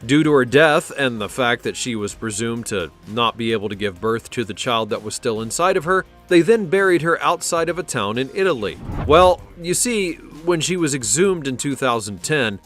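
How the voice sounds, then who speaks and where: A man's voice: mid-range voice, animated, moderate pace, fairly bright tone, faint music behind. A man reading a scripted countdown narration in a dramatic storytelling way, with wry conversational asides.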